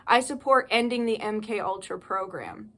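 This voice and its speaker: A woman's voice: mid-range voice, expressive, moderate pace, gravelly. A young woman delivering a heated, profanity-laced monologue straight to her viewers, ranting and pleading. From United States. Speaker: spiritual channel host. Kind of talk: impassioned monologue to camera.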